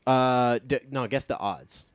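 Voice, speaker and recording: A man's voice: mid-range voice, unhurried, smooth. A man in his twenties with an American accent, chatting casually about sports as a show guest, emphatic, opinionated and loose with swearing. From United States, born in Texas and from Iowa.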